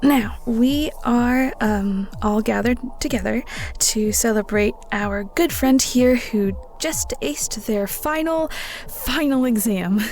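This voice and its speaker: Halting, slow-talking, bashful young woman acted. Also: fairly high pitch, a little mellow, soft background music. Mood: bashful, proud. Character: awkward, fond young woman best friend with a hidden crush. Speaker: audio roleplay voice actor. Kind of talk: audio roleplay acting, speech to a friend.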